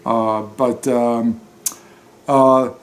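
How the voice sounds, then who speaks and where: A man talking casually and off the cuff as a story reader, in a halting, hesitant manner. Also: medium pitch, flat delivery. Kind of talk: narrated book reading, then casual unscripted talk.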